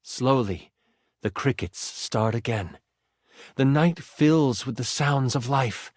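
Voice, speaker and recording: A man's voice: medium pitch, takes it slowly, dry recording, clean studio sound. A man narrating as game master in a dramatic, theatrical, suspenseful fantasy storytelling style.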